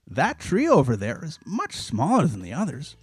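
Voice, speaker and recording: A man voicing an excited young boy in a storybook, speaking high and eager. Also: normal speed, husky, no echo, faint music behind, exaggerated voice.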